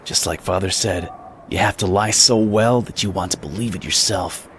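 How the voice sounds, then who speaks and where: Radio drama hero, male actor: lowish voice, solemn resolute gunslinger, noisy background. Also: moderate pace, fairly bright tone. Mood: solemn, explaining. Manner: solemn, determined. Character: grim, resolute lone gunman, husband and father. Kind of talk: old-school radio drama acting.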